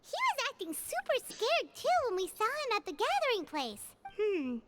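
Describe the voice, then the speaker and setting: A woman voicing a small childlike fairy companion in a video game, cheeky and excitable, with a squeaky voice and blunt way of speaking. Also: normal speed, noisy background, exaggerated voice. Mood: puzzled. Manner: blunt, exclamatory, refers to self in third person. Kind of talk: English video game voice acting. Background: game music.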